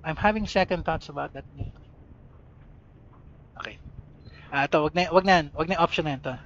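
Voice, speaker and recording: A man's voice: a little high, fairly quick, a bit bright. A man giving casual let's-play commentary and muttering to himself between dialogue choices. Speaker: gaming channel host. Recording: dull lo-fi recording.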